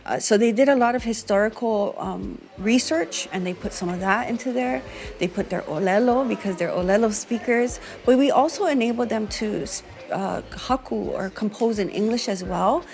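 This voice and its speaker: A woman's voice: fairly high pitch, moderate pace, a little mellow. A woman from Hawaii who leads music workshops, speaking reflectively in a documentary interview and mixing Hawaiian words into her English. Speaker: music teacher and workshop leader.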